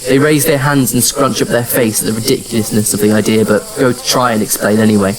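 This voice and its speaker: A man's voice: medium pitch, fairly even intonation, fast talker, close to an everyday voice, low-quality recording. An actor playing a wry, patient adventurer who narrates his own story in an audio drama. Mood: wry narration. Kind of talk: scripted first-person audio drama narration and dialogue. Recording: music under the voice. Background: music.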